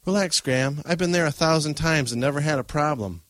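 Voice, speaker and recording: A man's voice: fairly high pitch, a little fast, fairly bright tone, dry recording, pitched above the performer's own voice, natural voice. A man voicing an easygoing, teasing teenage older brother in an audiobook, casual and lightly skeptical. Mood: reassuring. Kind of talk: audiobook character voice.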